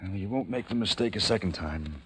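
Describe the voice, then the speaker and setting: A man's voice: deep voice, expressive, fairly quick, a little hiss or hum. An actor playing a grave, weary man who leads a group of disaster survivors, in 1940s radio drama style, earnest and solemn. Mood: despairing. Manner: earnest and solemn, sinking to hushed despair. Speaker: lead and narrator.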